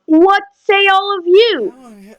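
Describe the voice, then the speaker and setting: A woman acts as an anxious, suspicious emperor in an audio play. The voice is very high, and the lines come out slowly and declaimed. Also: lo-fi sound, soft background music, exaggerated voice. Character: Emperor Justinian, male ruler, anxious and suspicious. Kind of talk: amateur audio play acting.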